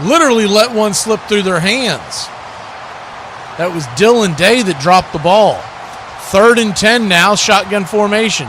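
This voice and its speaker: A man's voice: high-pitched, pushed and bright, slight background noise. A man doing live play-by-play football commentary as the announcer.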